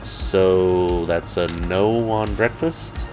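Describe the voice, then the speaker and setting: A man's voice: low voice, very slow, over music. Man performing easygoing guy, unflappable, cheerful, casual rambling delivery, comic story character. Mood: upbeat, unbothered. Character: cheerful, unflappable easygoing man, demon's one-night date.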